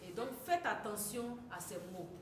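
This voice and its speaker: A woman interprets a sermon phrase by phrase. She is often hesitant and rephrases herself as she goes. Her voice is mid-pitched and captured from far away in a strongly echoing hall with noticeable noise. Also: big pitch swings. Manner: quick, self-correcting, hesitant fillers. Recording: echoey hall from far off, noisy.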